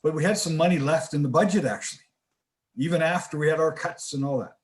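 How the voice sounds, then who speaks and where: A man's voice: fairly high pitch, fast. A man on a forum panel telling a work anecdote in a plainspoken, reflective way.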